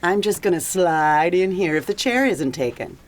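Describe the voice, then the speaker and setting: A woman playing a smooth, laid-back jazz DJ in an audio drama. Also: lowish voice, expressive, normal speed, a little hiss or hum, natural voice. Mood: cool, coaxing. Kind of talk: audio theater acting.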